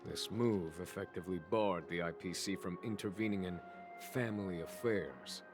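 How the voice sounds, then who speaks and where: Low-voiced, composed game general. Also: male voice. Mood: grave, measured. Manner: formal, measured, eloquent. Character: genial, composed male general, a diplomatic mediator. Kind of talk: scripted video game voice acting. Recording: game music behind the voice.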